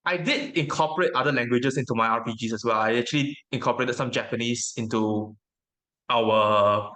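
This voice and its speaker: A man whose native language is Mandarin, giving casual interview answers as a guest in a reflective, hesitant way with frequent pauses. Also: medium pitch, bright, forceful tone. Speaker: interview guest, tabletop game educator.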